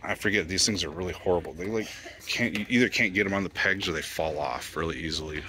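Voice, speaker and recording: A man filming a casual toy-hunting walkthrough, rambling excitedly as he lists the figures he spots on the store shelves. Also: low voice, fast, background noise. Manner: excited, rambling, listing items as he browses. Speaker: toy collecting vlogger. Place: retail store aisles.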